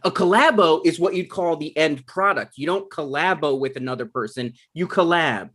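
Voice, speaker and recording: A man's voice: a little high, normal speed. Man playing sarcastic, exasperated grown son. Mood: annoyed. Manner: deadpan, pedantic, argumentative. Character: Ryan, sarcastic, exasperated adult son and aspiring TV writer. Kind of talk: comedy script table read.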